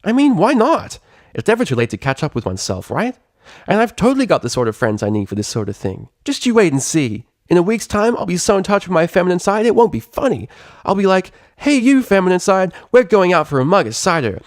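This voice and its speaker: A man's voice: sits high, sing-song, fairly quick, no room sound, studio quality, voice pushed up. A man voicing a brash, boastful tomboy pony girl with a slangy, swaggering delivery in a story reading. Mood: cocky, excited. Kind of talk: character voice in a fan fiction reading.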